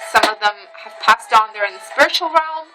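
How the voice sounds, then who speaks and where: A woman's voice: a little high, animated, brisk, loud music behind the voice, low-quality recording. A woman rambling casually to camera in a dim room at home, a bit tipsy from red wine and talking with loose, emotional wandering and many ums. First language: Armenian heritage speaker, reads Western Armenian. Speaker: vlogger.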